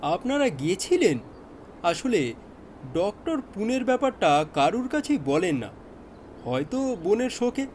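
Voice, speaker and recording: A male actor plays a deferential, diligent police inspector. His voice is high for a man and rises and falls a lot as he explains, with some hesitation. Faint noise sits behind this radio-drama voice. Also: very animated, a little slow, pauses often, darkish tone. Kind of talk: Bengali audio detective drama acting.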